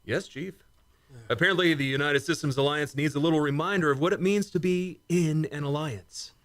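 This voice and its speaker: A man's voice: a little high, fairly quick, a bit bright, natural voice. A man acting as a loyal, plain-spoken starship commander in a sci-fi radio play, with military deference and a wry edge. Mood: brisk, wry.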